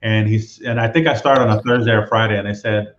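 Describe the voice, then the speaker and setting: A man from Southern California talks through his career in a relaxed, meandering way. His voice sits low and barely rises or falls. The sound is dull and roomy, like a video call from an echoing room. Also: fast talker, a little hiss or hum. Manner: relaxed, roundabout storytelling. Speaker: guest. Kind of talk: casual interview storytelling.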